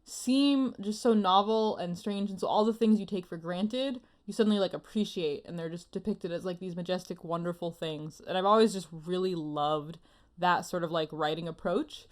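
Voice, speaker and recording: A woman's voice: on the low side, moderate pace. A woman co-hosting a podcast, chatting casually in an enthusiastic, giggly manner. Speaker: podcast co-host. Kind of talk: casual chat.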